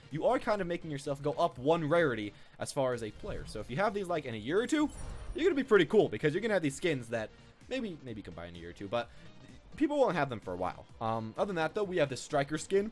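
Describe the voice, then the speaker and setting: A man hosts a casual gaming video with a very lively, swinging pitch. He rambles off the cuff and repeats his phrases, with faint music behind him. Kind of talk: casual off-the-cuff gameplay commentary.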